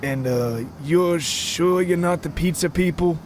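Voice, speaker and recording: A man voicing a dim, distracted suburban husband who talks hesitantly and slowly catches on, in a comic audio story. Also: fairly high pitch, a little mellow, slight background noise, voice pushed up, lightly acted. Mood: oblivious. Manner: hesitant, slow-witted, rambling.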